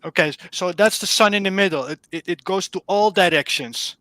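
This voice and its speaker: Bright, earnest Dutch-accented man. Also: high-pitched, brisk, no room sound. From Netherlands. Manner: earnest, searching for words. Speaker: caller. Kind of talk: casual explanation on a live stream.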